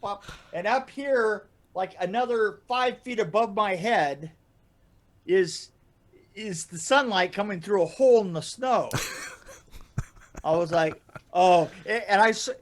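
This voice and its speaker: A man with a notably high voice tells casual anecdotes on a podcast. He is animated and halting, doubling back on his words and chuckling as he goes. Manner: animated, laughs through his stories, halting starts and repeats. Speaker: podcast storyteller and guest regular. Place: indoor room, video call.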